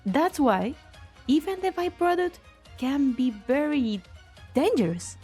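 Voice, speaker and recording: Casting: actress as young, loyal researcher mage, game fan dub, high voice, expressive and wry. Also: female voice, takes it slowly, soft background music, heavily acted. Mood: urgent explaining. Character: spirited, loyal young female researcher and spellcaster. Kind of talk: amateur fan dub game drama acting.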